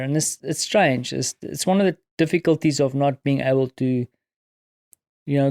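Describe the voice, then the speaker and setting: A man's voice: mid-range voice, creaky, mellow, dry recording. Tired South African man, close and dry. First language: Afrikaans. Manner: reflective, halting with pauses. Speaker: podcast host recounting his day. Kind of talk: casual solo audio diary.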